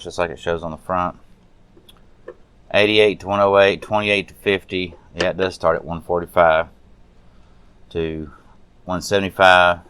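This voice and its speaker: Low-voiced man talking through a hands-on repair. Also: a bit bright, clean tone, dry recording. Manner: unscripted, muttering to self while working. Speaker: radio restoration hobbyist, presenter. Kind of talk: casual hands-on restoration walkthrough.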